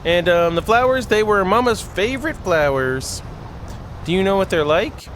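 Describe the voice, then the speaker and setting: A man acts out a wistful young girl from a visual novel, anxious and sleepy. The voice sits high and speaks haltingly, with noise behind it. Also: expressive, fairly bright tone. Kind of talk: visual novel character read aloud.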